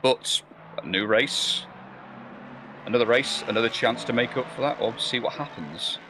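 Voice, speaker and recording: A man's voice: medium pitch, moderate pace, background noise. A man commentating a sim race live, rambling and hesitant, with many fillers and restarts. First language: not German or Belgian.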